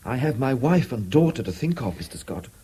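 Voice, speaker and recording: A soft-edged, husky middle-aged Englishman in a 1970s radio play. He is timid yet persistent, hesitating and repeating himself deferentially, in a mellow mid-pitched voice. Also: very animated, a little fast, exaggerated voice. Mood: uneasy, pleading. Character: anxious, apologetic middle-aged family man, timid but persistent. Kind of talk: 1970s British radio drama acting.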